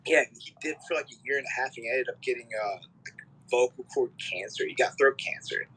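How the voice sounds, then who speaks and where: Young man, from canada, rambling musician guest, bright tense voice, faint noise. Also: mid-range voice, fast, rough recording. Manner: rambling, swearing, filler-heavy. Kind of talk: casual interview chat.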